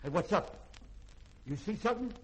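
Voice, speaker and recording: An actor playing a folksy, shifty, bourbon-loving ship's cook who wheedles hesitantly in a 1950s radio drama. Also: male voice, sits high, lively intonation, moderate pace, slight background noise, poor audio. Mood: gleeful, folksy. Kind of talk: 1950s radio comic-relief acting.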